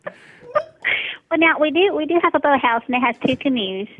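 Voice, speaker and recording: A woman's voice: sits high, big pitch swings, moderate pace. A woman working as a front desk receptionist, chatting with a customer in an easygoing, giggly way over the phone. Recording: tinny, narrow phone line.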